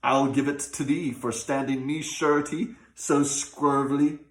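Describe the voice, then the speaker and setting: A man voicing a grasping, quick-tempered rich man in a folk tale, scolding in archaic speech. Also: medium pitch, level tone, close to an everyday voice. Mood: angry, scolding. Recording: roomy, echoing sound.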